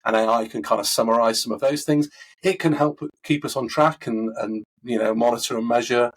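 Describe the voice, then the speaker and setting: Husky-voiced man talking through coaching. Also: mid-range voice, a little fast. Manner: thoughtful, many fillers and restarts. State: husky from a recovering voice. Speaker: coaching expert guest. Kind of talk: conversational interview answers.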